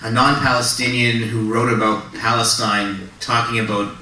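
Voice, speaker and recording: A man's voice: mid-range voice, flat delivery, moderate pace, pushed and bright. Earnest, stammering man, distant in an echoey hall. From Canada. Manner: earnest, anxious, stammering repeats. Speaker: panel speaker, writer. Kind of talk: festival panel talk. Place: public library event hall. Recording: echoey hall, distant mic, faint noise.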